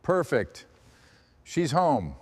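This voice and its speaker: A man's voice: on the high side, big pitch swings, extremely slow, slight background noise. A man hosting a restoration video, giving hands-on workshop commentary.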